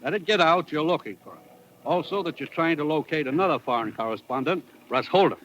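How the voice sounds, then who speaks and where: A man's voice: on the high side, expressive, quick pace, a bit bright, dull audio. An actor playing a grave, authoritative American intelligence chief briefing his agent in a vintage radio drama. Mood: grave, urgent. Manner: earnest, authoritative. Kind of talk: 1950s radio acting. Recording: noisy vintage radio recording.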